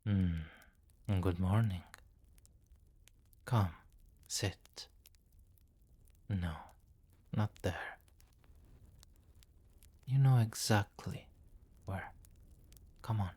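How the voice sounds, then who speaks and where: A deep, slow-speaking vampire king, acted by a man for an ASMR roleplay. He is commanding and possessive, addressing the listener intimately with teasing condescension. The recording is close and very dry. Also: mellow, husky, no room sound, heavily acted.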